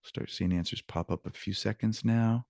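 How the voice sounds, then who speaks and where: Man, fairly deep voice, language teacher, informal, encouraging, switching languages, dry video-call recording. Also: fairly even intonation, normal speed, vocal fry, darkish tone, no room sound. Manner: informal, encouraging, switches between English and Spanish. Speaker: Spanish teacher. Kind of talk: online language lesson, explaining examples.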